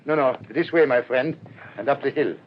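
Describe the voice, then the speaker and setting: A man's voice: mid-range voice, normal speed, natural voice. An actor playing a jovial, talkative clergyman in an old-fashioned radio drama, chummy and exclamatory. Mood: amused, chummy. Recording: dull lo-fi recording, clear noise.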